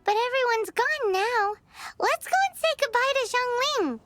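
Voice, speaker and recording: A woman voicing a tiny, childlike, excitable fairy companion in an anime video game. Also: very high voice, normal speed, soft background music. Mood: chirpy. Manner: refers to self in third person.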